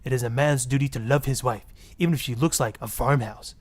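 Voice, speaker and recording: A man's voice: medium pitch, a little fast, natural voice. A man voicing a self-righteous, grandiose marriage guru with a put-on Latin accent on a parody radio talk show. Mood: earnest, dramatic. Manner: grandiose, preachy, easily offended.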